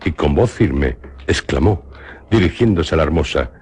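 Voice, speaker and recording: A man with a Spanish accent from Spain narrating a radio drama in a measured, solemn way. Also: extremely low pitch, expressive, a little mellow, breathy edge.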